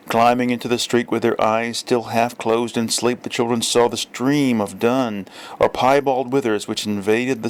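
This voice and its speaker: A man reading a literary story aloud as narrator, with measured, deliberate phrasing. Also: medium pitch. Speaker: audiobook reader.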